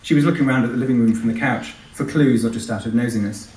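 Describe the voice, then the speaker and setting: A man's voice: mid-range voice, fast talker, darkish tone. A man, an author giving a live reading from his novel. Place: live event in a large venue. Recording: echoey hall, distant microphone.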